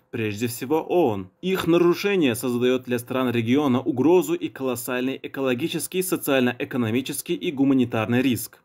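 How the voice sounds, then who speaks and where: A man reading scripted news as an announcer, in a formal, official and measured manner. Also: mid-range voice. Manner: official, measured, declarative delivery.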